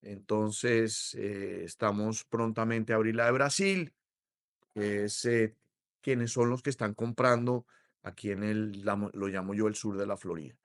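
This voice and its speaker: A middle-aged Colombian man with a Colombian accent, explaining mortgages as an interview guest in a measured way, with many hesitations. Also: lowish voice, steady tone, no echo, studio quality. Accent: Colombian Spanish. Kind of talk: interview conversation.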